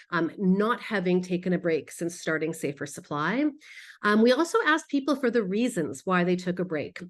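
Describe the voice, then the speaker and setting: Lower-pitched woman presenting research. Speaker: public health researcher presenting findings. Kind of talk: research presentation, semi-scripted explanatory talk.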